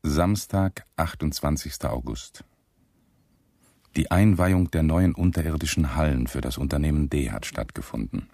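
A man's voice: extremely low pitch, moderate pace, creaky, mellow, no echo, studio quality, heavily acted. A man acting as a grave chronicler in a radio play, reading dated records in a factual, detached, restrained way. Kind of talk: scripted documentary-style narration in a radio play.

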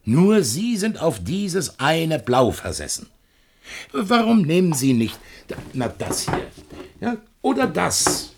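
Animated, husky-voiced evasive shopkeeper. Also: male voice, fairly high pitch, slow, exaggerated voice. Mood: patronizing. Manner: chatty, patronizing, placating. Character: evasive, talkative male paint shopkeeper, brushing off a customer. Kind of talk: German radio play acting.

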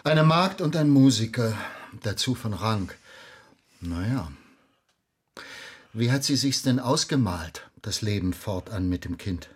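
Stern suspicious old-time magistrate, formal and accusing, bit husky, radio play. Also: male voice, on the low side, lively intonation, unhurried. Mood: inquiring. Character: stern, suspicious male magistrate interrogating suspects. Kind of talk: German radio drama acting in period speech.

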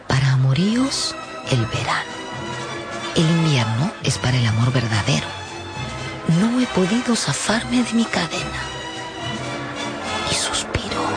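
A woman's voice: deep voice, full character voice. An actress playing a gossipy, insinuating society lady in a radio drama, confiding and teasing. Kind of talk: Spanish-language radio drama acting.

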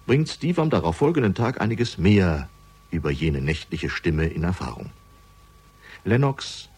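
A man reading scripted narration for a crime radio drama, measured and dramatic, with deliberate pauses. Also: low voice, darkish tone, slightly rough.

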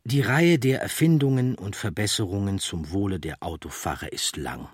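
Deep, husky man narrating a documentary audiobook. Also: lively intonation, normal speed, dry recording, clean studio sound.

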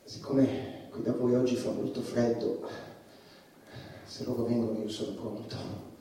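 A man's voice: medium pitch, flat delivery, unhurried, warm tone, close to an everyday voice. A male stage actor playing a troubled, rambling, defiant stand-up comedian who stammers and repeats his phrases in a theatrical monologue. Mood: rambling, agitated. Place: theatre stage, indoors, recorded from afar. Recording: distant, echoey stage recording with faint noise.

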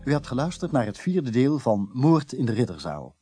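A male radio announcer reads scripted credits. His voice is mellow and husky, with lively pitch movement, recorded dry and close. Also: normal speed, dry recording, soft background music.

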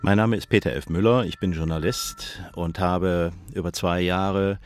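A man speaking as a journalist and presenter, explaining deliberately with pauses in mid-phrase. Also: sits low, fairly even intonation, soft background music. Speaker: journalist and author presenting the programme. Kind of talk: explanatory commentary to a documentary radio drama.

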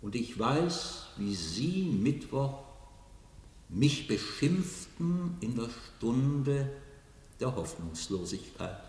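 A solemn, godlike male figure in a theatrical radio drama. His delivery is slow and declamatory, with sweeping, dramatic changes of pitch. The voice sounds distant, in a large echoing hall. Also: sing-song, darkish tone, full character voice. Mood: grave. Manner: solemn, declamatory, rhetorical repetition. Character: godlike, majestic man addressing his old comrades. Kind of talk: theatrical German radio play acting. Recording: echoey hall with long reverb, distant mic.

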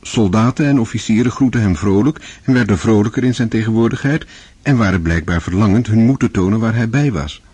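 A man's voice: fairly low pitch, a little fast, a little mellow, breathy edge, dry recording. A man narrating a radio drama in a scripted, literary style.

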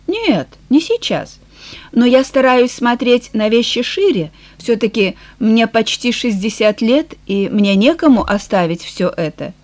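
A woman voicing a weary, frank male farmer nearing sixty in an audiobook, plain-spoken and measured. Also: a little high, lively intonation, a little mellow. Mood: resigned, frank. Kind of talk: audiobook character dialogue.

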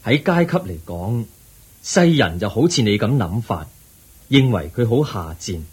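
A man with a Hong Kong Cantonese accent playing the Buddha, a calm, wise teacher who counsels gently and patiently in a radio drama. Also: fairly low pitch, a little slow, slightly rough, heavily acted.

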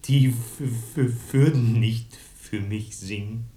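Slow, heavily stuttering young man, played by an actor. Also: mid-range voice, mellow, reverberant, natural voice. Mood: bitter, sad. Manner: heavy stutter, halting. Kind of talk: radio drama acting.

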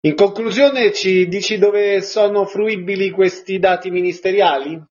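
A man's voice: sits high, steady tone, unhurried, highs cut off. A man hosting a radio programme, putting questions to guests and presenting segments. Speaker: radio host and interviewer.

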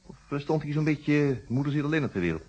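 The inquisitive confidant in a radio detective drama. His very raspy Netherlands Dutch voice moves in lively swings, curious and a little hesitant. The sound is dull. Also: a little high, quick pace. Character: inquisitive male friend and confidant of inspector.

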